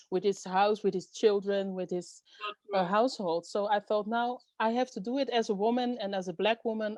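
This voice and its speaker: A middle-aged woman from the Netherlands, answering interview questions earnestly with many pauses and fillers. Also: mid-range voice, a little mellow, dry recording. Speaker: guest, storyteller and event host.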